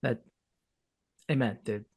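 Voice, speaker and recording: A man's voice: mid-range voice, fairly even intonation, slow, creaky, breathy edge, no room sound. Casual man podcasting, dry close sound. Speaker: podcast co-host.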